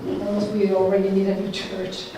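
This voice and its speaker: A woman's voice: mid-range voice, monotone, normal speed. A middle-aged woman from Northern Italy with an Italian accent, a religious sister speaking informally and conversationally to a group. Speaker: religious sister giving a talk. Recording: very echoey room, distant mic, faint noise.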